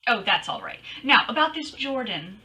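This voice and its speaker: A woman's voice: fairly high pitch, very animated, normal speed, sharp tone. A woman playing a wry, confident, wisecracking private detective in old-time radio style, breezy and flippant. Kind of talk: 1940s radio acting, narration and dialogue.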